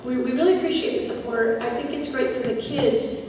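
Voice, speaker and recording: A woman's voice: high voice, a little fast, darkish tone, slight background noise. A woman drama director giving informal, conversational announcements to an audience before a show. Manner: chatty, conversational. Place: school theatre stage, distant microphone. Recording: echoey auditorium from far off.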